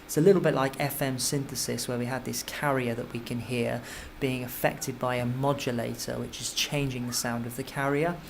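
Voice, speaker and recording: A man giving an informal, step-by-step software tutorial as a music technology teacher, explaining as he goes. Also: mid-range voice, steady tone, moderate pace, hardly any pauses. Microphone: computer microphone. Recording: clearly noisy computer microphone recording.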